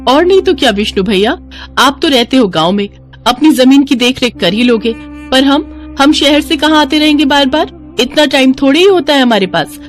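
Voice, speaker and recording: A woman's voice: high voice, animated, normal speed, faint music behind, pitched above the performer's own voice. A woman voicing a greedy, scheming sister-in-law in a moral story, pushy and complaining. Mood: insistent.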